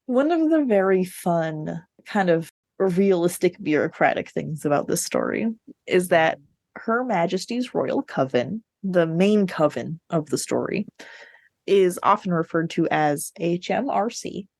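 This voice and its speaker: A woman chatting casually as a podcast co-host, telling things in an animated, digressive way. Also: on the low side, a little slow, dry recording, studio quality. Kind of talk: casual book discussion chat.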